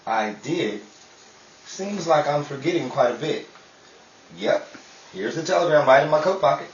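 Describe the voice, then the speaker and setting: A man's voice: on the high side, expressive, normal speed, close to an everyday voice. A befuddled, forgetful radio husband of the 1930s acted by a man, mild and well-meaning. His intonation swings widely, and the recording is dull, echoey and a bit far from the mic. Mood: puzzled. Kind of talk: old-time radio comedy-drama acting. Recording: dull, roomy, distant, faintly noisy.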